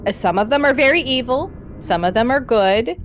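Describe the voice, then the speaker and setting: A woman's voice: on the high side, animated, fairly quick. Woman chatting casually on a lo-fi recording. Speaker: podcast host. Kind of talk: casual conversational discussion. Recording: dull lo-fi audio, music behind.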